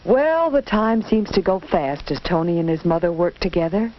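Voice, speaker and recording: A woman tells a simple instructive story for children in the manner of a 1940s educational film. Her pitch moves in a very animated way. The old soundtrack is dull, with faint noise behind her. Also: normal speed. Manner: simple, instructive, addresses the listener directly. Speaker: narrator.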